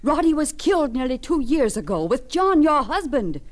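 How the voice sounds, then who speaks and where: High-voiced, emphatic skeptical sister. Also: female voice, big pitch swings, normal speed. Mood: dismayed. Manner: emphatic, theatrical, scolding. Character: stern skeptical sister, exasperated and protective. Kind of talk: 1940s radio drama acting.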